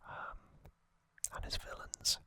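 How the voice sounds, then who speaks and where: An ASMR host whispering slowly, rambling through trivia with fillers and musings. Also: bright, forceful tone. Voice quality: unvoiced whisper.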